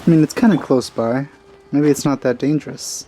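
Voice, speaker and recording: A man giving casual, low-key commentary as he plays a game, musing aloud. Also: normal speed, mellow. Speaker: gameplay streamer. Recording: game music behind.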